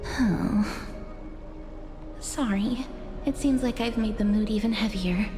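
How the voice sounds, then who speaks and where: A woman's voice: fairly high pitch, slow, long reverb tail, over music. A woman playing a shy, awkward, well-meaning young woman in a fantasy game, hesitant and apologetic. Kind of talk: video game voice acting.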